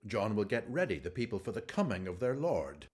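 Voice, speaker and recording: An actor playing an angel, a measured and authoritative messenger of God, in a radio drama. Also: male voice, lowish voice, big pitch swings. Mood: stern. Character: angel Gabriel, calm, authoritative, stern male messenger of God.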